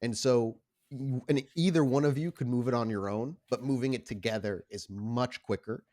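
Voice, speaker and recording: A man's voice: medium pitch, normal speed, a little mellow. A man narrating a tabletop roleplaying game as game master in a conversational, descriptive way.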